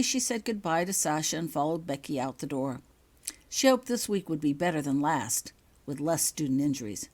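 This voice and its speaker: A woman's voice: sits low, darkish tone. A woman reading her own written fiction aloud as audiobook-style narration.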